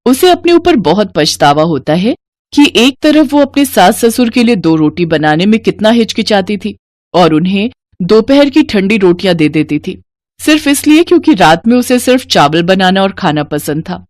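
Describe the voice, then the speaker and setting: A woman tells a scripted moral story as its narrator. Her middle-pitched voice moves with plenty of variation, and the recording is close and dry. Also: big pitch swings, normal speed, a little mellow, no room sound.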